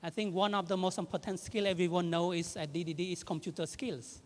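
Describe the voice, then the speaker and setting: A man in his thirties from Cambodia with a Khmer accent, giving a halting speech with fillers and self-corrections at an anniversary event. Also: high-pitched, steady tone. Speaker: guest speaker. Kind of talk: public speech. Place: large indoor event hall. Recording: reverberant event hall.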